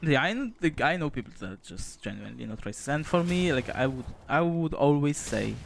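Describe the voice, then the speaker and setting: A man's voice: fairly high pitch, sing-song, fairly quick, vocal fry, a little hiss or hum. A young man from Poland, a streamer giving casual, laid-back gameplay commentary, chatting and swearing now and then.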